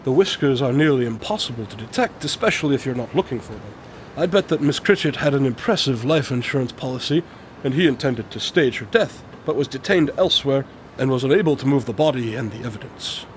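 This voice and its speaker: A man's voice: mid-range voice, lively intonation, fairly quick, slight background noise, lightly acted. An actor as a precise, aloof male detective. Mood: explanatory, assured. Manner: precise, formal, matter-of-fact. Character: brilliant, aloof male detective explaining his deductions. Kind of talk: radio drama acting.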